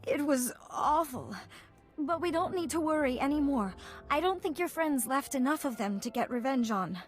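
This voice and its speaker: A young, affectionate daughter in a video game. Her voice is high, with faint music behind it. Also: lively intonation, moderate pace. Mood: relieved, shaken.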